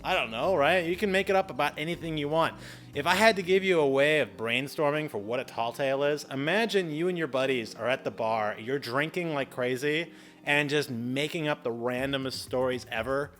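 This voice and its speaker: A man with a bright, forceful voice presents a casual explainer. He is chatty and animated, pitched a little high, and throws in playful, exaggerated asides. Faint music plays behind him. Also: fairly quick. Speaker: video host giving public speaking tips.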